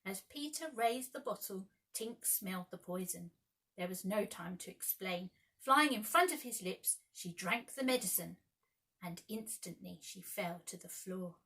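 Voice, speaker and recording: Bright-voiced woman reading a storybook. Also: mid-range voice, expressive, measured pace. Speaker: primary school teacher reading aloud. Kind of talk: dramatic children's storybook narration.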